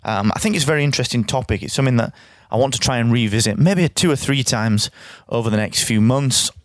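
Croaky, energetic man. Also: medium pitch, quick pace, no echo, clean studio sound. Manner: energetic, chatty, repeats words. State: hoarse, croaky. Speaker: podcast host. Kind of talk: straight-talking solo podcast.

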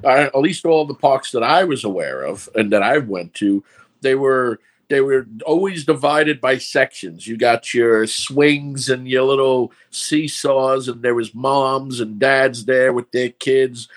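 A man's voice: mid-range voice, measured pace. A man with a New York accent, a filmmaker talking casually through his process step by step. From New York City. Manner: rambling, explains step by step. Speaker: filmmaker guest. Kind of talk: casual podcast chat.

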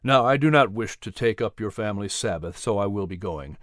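A man voicing a gentle, earnest colonial preacher who speaks in a calm, measured, persuasive way. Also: lowish voice, no echo, studio quality, voice pushed down.

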